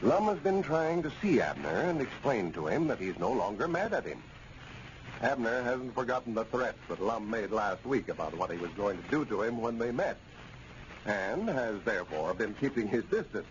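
A man's voice: medium pitch, big pitch swings, normal speed. An American man giving scripted narration as an old-time radio announcer. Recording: dull, noisy old radio recording.

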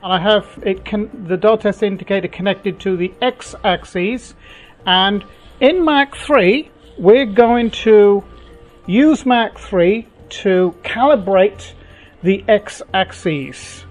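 An older man hosting a workshop tutorial, talking through a machine setup slowly, with halting fillers and restarts. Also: sits high, soft background music. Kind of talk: unscripted technical walkthrough. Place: home workshop, indoors.

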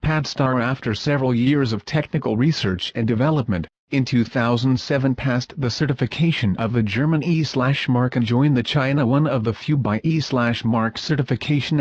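A man's voice: mid-range voice, darkish tone, no echo. Man, scripted company promo read, stiff delivery, dull dry lo-fi sound. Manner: stiff, reads awkward non-native English text. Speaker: corporate video narrator.

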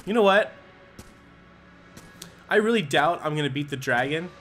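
A man giving let's-play commentary, thinking aloud and bursting into excited exclamations. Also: fairly high pitch, normal speed. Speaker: gaming commentator. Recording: game music under the voice. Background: game music.

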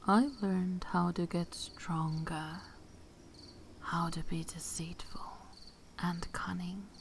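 A woman playing a seductive, vengeful vampire mistress in an ASMR roleplay, cruel yet loving, speaking in a breathy, often whispered voice with theatrical pauses. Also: lowish voice, darkish tone, slight background noise. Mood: ambitious, brooding. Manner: slow, theatrical, with long pauses.

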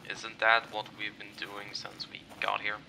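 A man voicing a sardonic, bored masked soldier in a comic dub, with a dry deadpan manner. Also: on the low side, measured pace. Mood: flat. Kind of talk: amateur comic dub voice acting. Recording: track with clear background noise.

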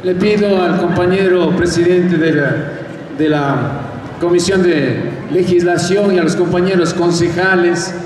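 Man, Ecuadorian accent, official speech, high pitch, reverberant distant sound. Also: fairly even intonation, measured pace. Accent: Ecuadorian Spanish. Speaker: local government official. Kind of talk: public speech at a sports ceremony. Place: covered outdoor sports court. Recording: echoey distant PA, faint noise.

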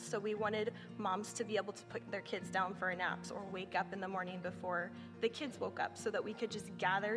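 Earnest young woman, reverberant hall. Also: mid-range voice. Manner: earnest, grows lively when recalling joyful moments. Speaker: church member sharing testimony. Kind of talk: reflective personal testimony. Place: large bright indoor hall, seated interview. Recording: reverberant hall with soft music behind.

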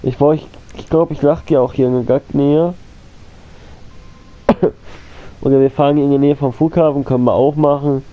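A man's voice: medium pitch, fairly even intonation, normal speed, long pauses, lo-fi sound. A man with a cold, coughing now and then, giving rambling casual commentary on his gameplay and talking straight to his viewers. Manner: rambling, repeats himself, addresses viewers as friends. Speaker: gaming channel host.

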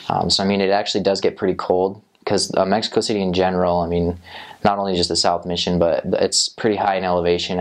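A young man on a lapel mic in a small studio, answering interview questions about his time as a missionary, rambling with many fillers and restarts. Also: deep voice. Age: young adult. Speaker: returned missionary. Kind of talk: interview answers, casual recollection. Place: small indoor studio set.

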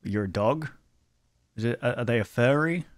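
A man's voice: medium pitch, expressive, normal speed, no room sound, clean studio sound. A man in his twenties giving laid-back, casual reaction commentary and reading on-screen text aloud. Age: 20s. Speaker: reaction video host. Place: small room at home, indoors. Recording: clear background noise.

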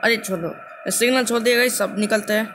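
A man's voice: sits high, steady tone, quick pace. A man hosting a gaming channel with casual gameplay commentary, repeating and restarting phrases as he addresses viewers. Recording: noisy, vehicle engine sound behind voice.

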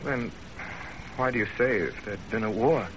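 An actor playing an earnest young husband in a 1930s radio drama, speaking gravely and deliberately. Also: male voice, lowish voice, fairly bright tone, natural voice. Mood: pleading. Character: earnest young husband narrating his love story. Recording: noisy 1930s radio broadcast.

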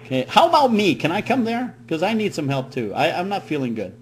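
High-voiced middle-aged man on a prank call. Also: very animated, quick pace. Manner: feigned naive worry, rambling. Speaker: prank caller. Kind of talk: improvised comic prank phone call. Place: small room at home, indoors. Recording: noisy webcam audio with hum.

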